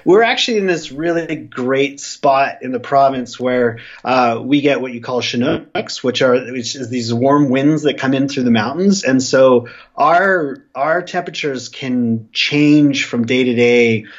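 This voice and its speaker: Stuffy man, 40s, from western Canada, relaxed interview guest, middle pitch, muffled lo-fi audio. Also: measured pace, few pauses. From Canada (Alberta). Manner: relaxed, rambling with fillers and restarts. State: stuffy from a flu. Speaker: guest, comic artist. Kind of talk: casual interview chat.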